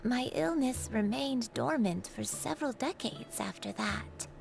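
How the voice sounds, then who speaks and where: A woman voicing a frail, gentle elderly granny in a video game, with slow, halting, wistful delivery. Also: fairly high pitch, lively intonation, gravelly, a little hiss or hum, exaggerated voice.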